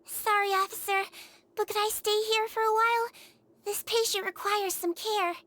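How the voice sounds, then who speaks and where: A woman voicing a small, gentle nonhuman nurse girl in a game, speaking softly, patiently and matter-of-factly. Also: extremely high pitch, fairly even intonation, normal speed. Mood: requesting. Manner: soft, patient, matter-of-fact. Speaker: head nurse. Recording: game audio with music behind.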